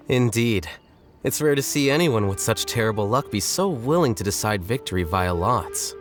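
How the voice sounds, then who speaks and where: A man's voice: lowish voice, lively intonation, unhurried, music in the background. A man voicing a cool, aloof scholar in a video game, dry, composed and formal. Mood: mocking.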